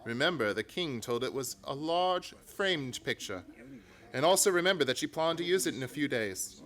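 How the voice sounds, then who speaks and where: A man playing a confident, commanding gentleman detective in a theatrical radio play. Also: fairly high pitch, animated, normal speed. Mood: assured.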